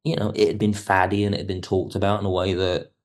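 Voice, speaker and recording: A man speaking as an expert guest in an interview, explaining things in a measured, digressive way. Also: sits low, level tone. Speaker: podcast guest, television history expert. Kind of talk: conversational interview commentary.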